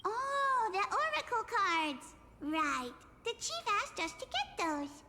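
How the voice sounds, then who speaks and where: A little maze fairy in a video game, gushing and childlike. The voice sits very high and swoops all over. It is slow and drawn-out, with a long echo and loud music behind. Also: female voice, very animated, full character voice. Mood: chirpy. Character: tiny excitable fairy of the maze. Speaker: game character.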